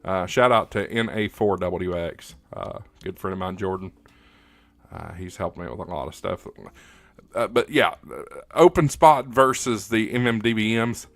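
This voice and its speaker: A man hosting a casual hobby livestream, answering comments in a halting, plain-spoken, opinionated way, often repeating words as he goes. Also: deep voice, fairly bright tone, dry recording. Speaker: livestream host, ham radio hobbyist.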